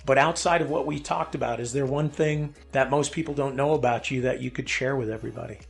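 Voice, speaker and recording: A man's voice: mid-range voice, quick pace, gravelly, faint music behind. A man hosting an interview podcast, often backing his guest up with short agreements. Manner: affirming, frequent "yeah" and "that's right". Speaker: podcast host. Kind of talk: casual interview chat.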